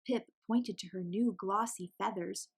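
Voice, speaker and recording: A woman reading a children's storybook aloud in an expressive narrating style. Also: medium pitch, unhurried, vocal fry, slightly rough.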